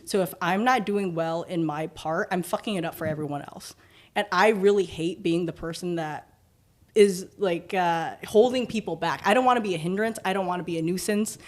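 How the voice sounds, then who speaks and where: A young woman with a fairly deep voice for a woman, chatting casually as a podcast guest and acting out what people say to her. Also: normal speed. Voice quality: deeper voice for a woman. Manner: animated, acts out quoted remarks with swearing.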